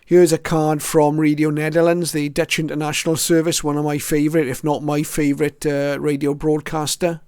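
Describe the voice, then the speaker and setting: A mature man presents his hobby collection in a casual, reflective way. His voice sits a little high and stays even in pitch, with a clean, smooth tone. He moves through items one by one, often hesitating as he goes. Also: moderate pace, few pauses, dry recording, clean studio sound. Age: middle-aged or older. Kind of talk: casual show-and-tell narration.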